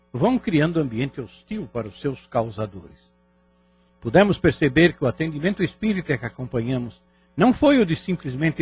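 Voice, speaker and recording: A man's voice: medium pitch, slightly rough, dull audio. A man delivering scripted didactic commentary in a formal, explanatory manner. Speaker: narrator-commentator. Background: music.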